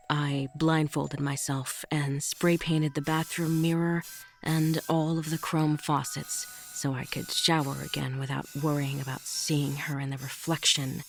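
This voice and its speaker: Low-voiced woman acting a haunted horror narrator. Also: vocal fry. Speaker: audio drama narrator. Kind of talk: scripted first-person horror narration.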